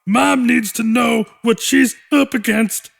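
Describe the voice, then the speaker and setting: A man's voice: very high voice, fairly even intonation, slow, vocal fry, pitched above the performer's own voice, heavily acted. A man voicing a small young monster boy in an audiobook, earnest and frightened but resolute, prone to stammering. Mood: grim, urgent.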